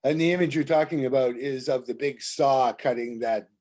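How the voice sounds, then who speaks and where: A film-festival moderator with a mellow, dark-toned male voice at a middle pitch. He gives encouraging praise and tends to repeat words as he goes. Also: normal speed.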